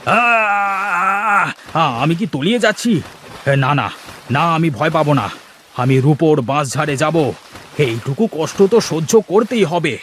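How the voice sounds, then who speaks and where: A man's voice: on the high side, expressive, takes it slowly, a bit bright, a little hiss or hum. A man voicing a greedy, envious village headman who mutters and gloats to himself in a children's fairy tale cartoon. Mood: alarmed, determined.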